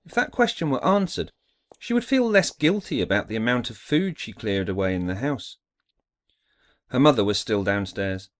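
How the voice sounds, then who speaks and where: A man's voice: medium pitch, very animated, moderate pace. A man giving a scripted, dramatic narration of an audiobook story.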